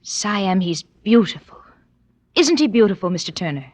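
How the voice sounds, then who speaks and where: A woman's voice: mid-range voice, big pitch swings, normal speed. An actress playing a poised, dangerous society woman, intense and theatrical in old radio drama style. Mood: enthralled. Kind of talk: 1940s-50s radio drama acting.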